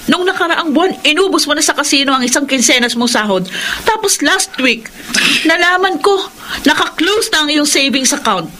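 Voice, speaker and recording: A woman's voice: sits high, expressive, moderate pace, sharp tone, slight background noise. A Filipino woman playing a worried, nagging young wife and mother in a radio drama, pleading and pressing. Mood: accusing. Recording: background music under the voice.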